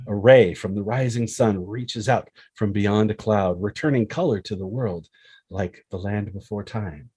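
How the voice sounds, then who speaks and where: A male narrator reads screenplay action and scene headings. His voice is fairly low and raspy, recorded dry with no room sound. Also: a little mellow, no room sound. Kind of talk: scripted screenplay narration, reading stage directions.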